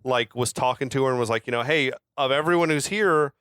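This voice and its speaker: A male podcast co-host with a clear, smooth voice and a medium pitch. He talks in loose, slangy, swear-laden storytelling, recorded close and dry. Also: a little fast, a bit bright, no echo. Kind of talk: casual film podcast chat.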